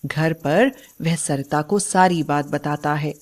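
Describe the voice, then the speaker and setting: A woman narrator reads a scripted tale. Her voice is fairly low for a woman, and she takes her time, pacing each sentence slowly.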